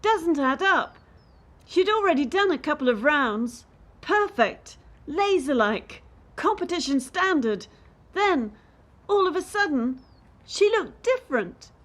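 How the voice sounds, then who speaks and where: A woman's voice: high voice, very animated, takes it slowly, fairly bright tone, full character voice. A woman playing a distressed, idealistic young gymnast in a radio play, with an anxious, aggrieved and brooding manner. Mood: uneasy, suspicious.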